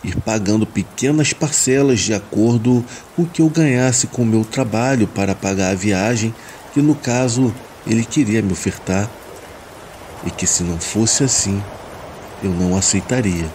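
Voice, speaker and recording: A man's voice: lowish voice, moderate pace. A man with a Brazilian accent reading a scripted first-person horror story as a narrator. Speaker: narrator of listener-submitted horror stories. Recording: steady rain noise behind the voice. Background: rain ambience.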